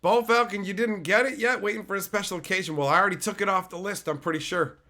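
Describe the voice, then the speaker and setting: Male streamer, hoarse with a cold, loose and foul-mouthed, reading chat aloud. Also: high voice, fast, sharp tone. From Canada. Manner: loose, swears freely, answers chat by name. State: hoarse from being sick. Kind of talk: casual live-stream chat.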